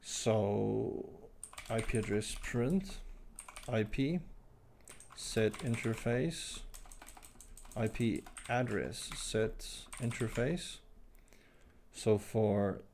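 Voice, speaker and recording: A man hosting a live tech stream, thinking aloud as he types commands, his voice croaky today. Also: lowish voice, expressive, very slow, pauses often, darkish tone, slight background noise. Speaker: livestream host. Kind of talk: casual live tech walkthrough.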